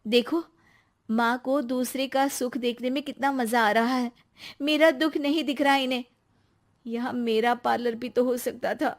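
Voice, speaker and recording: A woman acts out a young married woman in a cartoon tale. Her voice is high and plaintive. She is easily hurt and ready to argue her side. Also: fairly even intonation, measured pace. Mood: indignant. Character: young wife, anxious, easily hurt and quarrelsome. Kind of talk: Hindi cartoon story dialogue.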